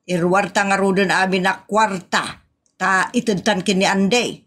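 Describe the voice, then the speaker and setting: A woman's voice: mid-range voice, steady tone, a little slow, a bit bright. A woman with an Ilocano accent acting a sassy, easily scandalised village woman in a comedy audio drama. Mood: questioning.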